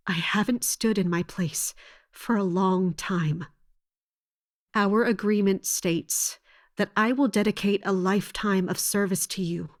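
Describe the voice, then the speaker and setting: An actress as a steadfast female captain of mercenaries in a fantasy audio drama. Her mid-pitched speech is formal and measured. She stays respectful while standing her ground. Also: natural voice. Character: loyal, resolute female mercenary captain serving royalty.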